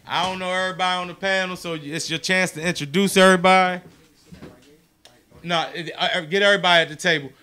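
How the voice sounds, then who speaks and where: A man's voice: high voice, steady tone, quick pace, clear, resonant tone. A man hosting a casual live show, with a big voice, peppering his talk with "man". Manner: loud, says "man" a lot, big voice. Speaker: show host.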